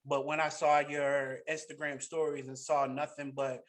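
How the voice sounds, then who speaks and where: A man hosting a video-call interview, asking questions in a relaxed conversational way. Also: on the high side, moderate pace. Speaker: podcast host. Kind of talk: casual interview chat. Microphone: headset on video call.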